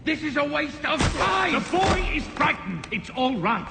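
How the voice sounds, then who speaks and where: A menacing, power-hungry villain in a game cutscene. His high voice swings dramatically with imperious impatience, ringing in a reverberant chamber over music. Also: very animated, unhurried, gravelly, heavily acted. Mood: impatient. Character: cruel, impatient masked cult leader hungry for power.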